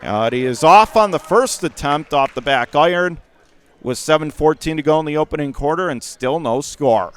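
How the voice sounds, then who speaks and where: A man calls a basketball game play by play for radio, his voice smooth and resonant and pitched a little high. He talks quickly and surges to shouts when the action heats up, with a ball bouncing somewhere behind him. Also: animated. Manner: rapid, rising to shouts on big plays.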